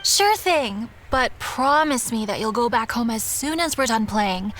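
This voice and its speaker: A woman's voice: on the high side, expressive, measured pace, a bit bright, a little hiss or hum. A woman voicing a friendly, caring young shopkeeper in a video game, chatty and encouraging. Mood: affectionate. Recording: game music behind voice.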